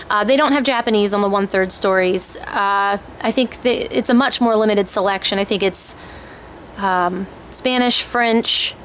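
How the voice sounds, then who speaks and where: A middle-aged woman speaks in a somewhat high voice, walking through a casual overview. Her delivery is informal and a little hesitant, working out her thoughts as she goes. There is noticeable background noise on the recording. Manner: informal, hesitant, thinking aloud. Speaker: homeschooling parent reviewing language kits. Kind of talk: casual product overview, explaining.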